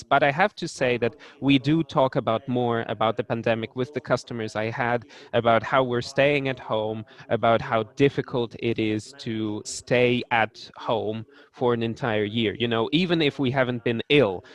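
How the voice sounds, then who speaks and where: A man with a mid-range voice talks through an online panel discussion. His delivery is halting, full of restarts and small fillers as he works out each thought. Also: mid-range voice. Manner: hesitant, many restarts and fillers.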